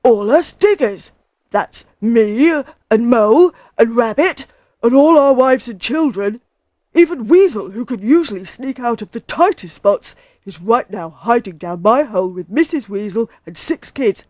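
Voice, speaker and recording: A woman voicing an excitable, anxious badger father in a children's story, exclaiming emphatically. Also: a little high, a little slow, no echo, voice pushed up. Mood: alarmed.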